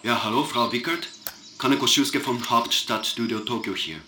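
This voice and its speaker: A man's voice: medium pitch, normal speed, pushed and bright, a little hiss or hum, lightly acted. An actor playing an awestruck Japanese TV cameraman giving a live eyewitness report in a radio drama. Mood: polite, observant. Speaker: cameraman correspondent.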